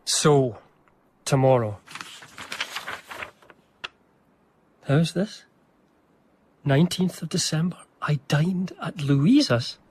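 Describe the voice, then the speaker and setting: An actor playing an eager, reverent young gentleman diarist in a radio drama, speaking formally and effusively. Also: fairly high pitch, animated, slow, slight background noise. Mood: musing, eager. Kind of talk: radio drama dialogue and diary narration.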